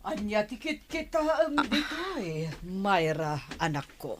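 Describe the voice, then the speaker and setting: A woman playing a fearful, protective mother in a radio drama, husky and urgently scolding. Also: medium pitch, very animated, takes it slowly. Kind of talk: Filipino radio drama acting.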